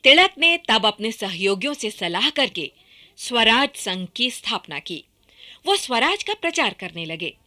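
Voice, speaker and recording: A woman reads scripted narration for a radio documentary drama. Her voice is a little high, bright and forceful, with lively rises and falls. The delivery is dramatic and projected. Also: measured pace.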